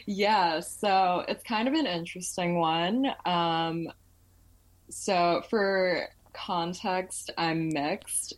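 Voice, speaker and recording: A woman's voice: lowish voice, very slow. A young woman from Malaysia in her twenties, chatting as a podcast guest with lots of fillers and laughs. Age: 20s. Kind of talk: casual interview chat.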